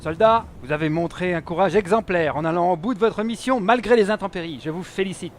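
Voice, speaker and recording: A man's voice: high-pitched, brisk, slight background noise, close to an everyday voice. An actor playing a formal, declamatory army captain commending his men in an audio drama. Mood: proud, formal.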